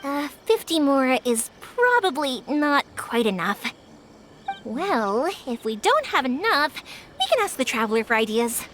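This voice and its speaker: An actress playing an upbeat, helpful young woman who makes fireworks in a video game, with a chatty, expressive delivery. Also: sits high, unhurried, noisy background. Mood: enthusiastic. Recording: music behind the voice.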